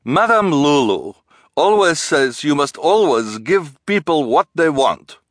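Man putting on a booming, grand fortune-teller woman. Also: very animated, slow pace, no echo, studio quality. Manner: grand, booming, speaks of herself in third person.

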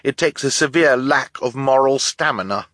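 Man voicing an earnest, high-pitched defiant boy. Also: moderate pace, fairly bright tone, dry recording, clean studio sound, lightly acted. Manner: urgent, raised, emphatic delivery. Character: brave, earnest, bookish young boy, defiant.

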